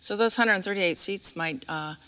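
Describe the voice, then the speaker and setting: Interviewer: American woman, bright clear-cut tone, halting, chatty delivery on camera. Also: mid-range voice. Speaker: interviewer, school district staff member. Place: large theatre stage, indoors.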